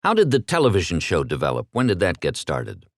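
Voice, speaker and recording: Male American interviewer. Low register, polished and formal scripted delivery, very dry close recording. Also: huge pitch swings, brisk, no echo. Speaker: interviewer, audiobook narrator. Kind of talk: scripted introduction and interview questions.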